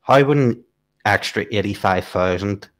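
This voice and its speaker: A man with a lowish voice raises his points very slowly and carefully. He often pauses on fillers as he builds up a pointed question. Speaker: political podcast co-host. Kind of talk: casual political discussion.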